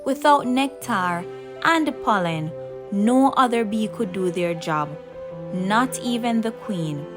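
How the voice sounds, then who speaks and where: A woman reading a scripted children's story as a narrator, expressive and unhurried, with deliberate pauses. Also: mid-range voice. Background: background music.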